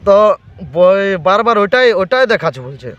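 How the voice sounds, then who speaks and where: A man's voice: high-pitched, very animated, fairly quick. A man with a Purulia Bengali accent making a prank phone call in casual chat, needling and repeating himself. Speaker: prank caller. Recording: dull lo-fi sound with clear background noise.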